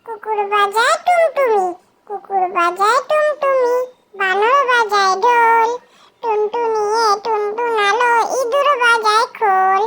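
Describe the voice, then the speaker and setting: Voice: very high-pitched, measured pace, crowd noise. A tiny childlike voice reciting nursery rhymes in a sing-song way, on a very noisy track with clicks.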